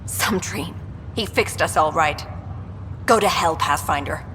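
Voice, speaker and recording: An actress playing a hostile, scornful, despairing colonist in a video game. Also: female voice, low voice, unhurried, a bit bright, a little hiss or hum. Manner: hostile, contemptuous. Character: bitter accusing woman colonist, scornful and despairing. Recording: reverberant hall, music behind.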